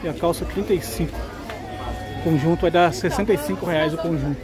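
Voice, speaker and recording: A man's voice: fairly high pitch, brisk, over loud music. A man with a Brazilian accent giving casual walk-through commentary as a vlogger. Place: outdoor covered street market. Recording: busy street crowd behind the voice.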